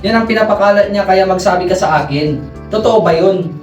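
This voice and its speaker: A woman's voice: medium pitch, a little fast, a little mellow, soft background music, lightly acted. A woman playing a blunt, concerned young friend in a radio drama, confronting and exasperated. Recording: echoey, distant from the mic.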